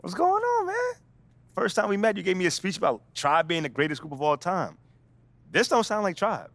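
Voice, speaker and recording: Lively young rookie baller. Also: male voice, high voice, a little fast, gravelly, no room sound, heavily acted. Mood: upbeat, excited. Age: young adult. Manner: informal, slangy, bantering. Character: young confident rookie basketball player, joking and easygoing. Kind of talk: video game cutscene dialogue.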